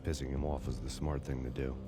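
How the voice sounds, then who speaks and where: An actor playing a weary, bitter cop in a video game, narrating in a sardonic, brooding, hardboiled noir style. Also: male voice, a bass voice, fast talker. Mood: brooding, deadpan. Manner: deadpan, brooding. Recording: loud music underneath.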